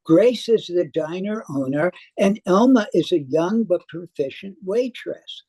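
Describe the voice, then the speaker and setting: A woman theater critic reading a prepared review aloud in a measured, deliberate manner. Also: fairly low pitch, expressive, warm tone, husky, dry recording. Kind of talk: scripted review read aloud.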